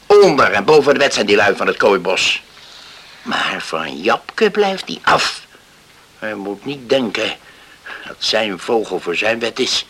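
An actor in a radio play playing a stern, stubborn middle-aged father with a rough voice and a curt, deliberate, unyielding manner. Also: on the low side, sing-song, a bit bright, a little hiss or hum, full character voice. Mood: scornful, threatening. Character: stern, stubborn island father guarding his daughter.

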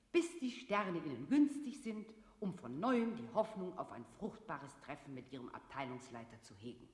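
An actress playing an officious female narrator who gives formal, emphatic instructions in a radio play. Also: fairly low pitch. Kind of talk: scripted radio play recitation.

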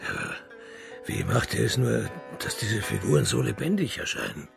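Blunt soldier, radio crime drama: heavy gravel, lively swings in pitch, coarse, grumbling. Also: male voice, moderate pace, soft background music, heavily acted. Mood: musing. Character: gruff, hot-blooded male soldier, coarse and sceptical. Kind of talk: German radio play acting.

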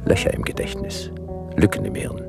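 A man's voice: normal speed, loud music behind the voice, natural voice. A man playing a world-weary private detective in a radio play, speaking in dry, terse, hard-boiled phrases. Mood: brooding narration. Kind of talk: noir radio play dialogue and first-person narration.

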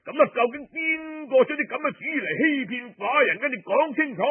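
Man acting a furious, high-pitched imperious duke. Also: lively intonation, a little fast, husky, phone-quality sound, heavily acted. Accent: Cantonese. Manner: fierce, interrogating. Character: enraged, imperious duke demanding the culprit's death.

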